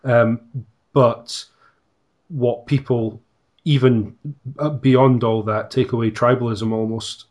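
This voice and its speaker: A man in a podcast conversation, speaking in a measured, thoughtful way. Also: mid-range voice, steady tone, darkish tone. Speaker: podcast guest. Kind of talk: reflective conversation.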